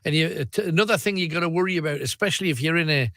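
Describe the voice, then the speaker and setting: Chatty radio phone-in host. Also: male voice, fairly high pitch, very fast, sharp tone, no echo, clean studio sound. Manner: chatty, reads out the phone number. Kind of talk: casual radio chat. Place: radio studio. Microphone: studio microphone.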